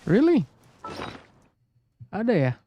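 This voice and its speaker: A man's voice: high voice, wildly swooping pitch, takes it slowly, dull audio, slight background noise. A man with an Indonesian accent chatting casually on a live game stream, switching languages and muttering to himself. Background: game music.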